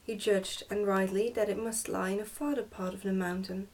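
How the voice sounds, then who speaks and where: A woman reads a storybook in a hushed ASMR manner, slipping at times toward a whisper. Her delivery is careful and deliberate, and she sounds a little way back from the microphone. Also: mid-range voice, fairly even intonation, recorded from a distance. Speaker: ASMR host reading a book aloud. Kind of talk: ASMR storybook reading, soft and partly whispered.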